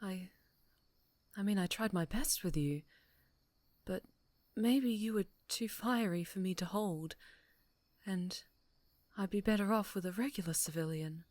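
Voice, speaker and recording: Slow, menacing obsessive superhero woman, acted by a woman. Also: mid-range voice, a little mellow, dry recording, lightly acted. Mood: wounded, brooding. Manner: halting, breathy whispers, menacing sweetness. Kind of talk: dramatic ASMR roleplay.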